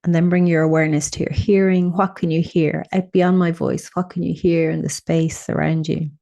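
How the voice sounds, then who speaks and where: A woman leading a guided meditation, speaking in a soothing, unhurried way with gentle instructions and pauses. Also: lowish voice, flat delivery, gravelly.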